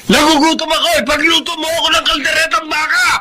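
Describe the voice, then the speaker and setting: High-voiced, blustering Filipino husband. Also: male voice, little pitch movement, fast. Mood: angry, demanding. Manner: blustering, complaining. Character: bad-tempered, demanding husband and father with a roving eye. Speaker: radio drama actor. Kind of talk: Filipino radio drama acting. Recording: clearly noisy radio drama recording.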